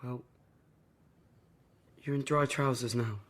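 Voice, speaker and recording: An actor playing an awkward, anxious teenage son, narrating and talking in a radio play. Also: male voice, medium pitch, fairly even intonation, slow pace, a bit bright, dry recording. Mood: wary.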